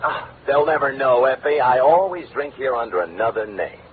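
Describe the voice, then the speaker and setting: An actor playing a breezy, wry, wordy, hard-drinking private detective in a 1940s radio drama. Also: male voice, mid-range voice, expressive, normal speed, narrow, tinny audio, natural voice. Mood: tipsy, teasing. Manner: wry, glib, theatrically wordy. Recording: thin band-limited old radio recording, faint noise.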